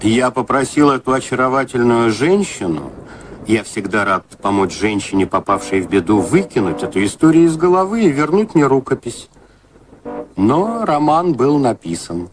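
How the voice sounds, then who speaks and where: Actor as an urbane author, lively intonation. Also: male voice, mid-range voice, moderate pace, slight background noise, natural voice. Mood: dryly amused. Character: urbane, dryly ironic male author telling his story. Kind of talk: dramatised first-person narration.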